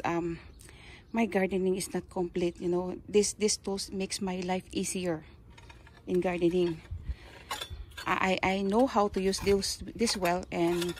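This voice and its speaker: Slow Filipino woman showing her garden. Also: mid-range voice, a little hiss or hum. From Philippines. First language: Kapampangan. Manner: slow, halting, searches for words. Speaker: gardening vlogger. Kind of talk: casual show-and-tell. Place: backyard garden outdoors. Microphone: handheld phone, speaker off camera.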